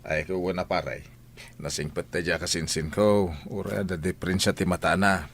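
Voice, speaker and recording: A man's voice: sits low, fairly even intonation, normal speed, gravelly, fairly bright tone, a little hiss or hum. An actor with an Ilocano Filipino accent playing a gossipy male buddy in a radio drama. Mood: chatty. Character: animated male buddy gossiping about his cousin.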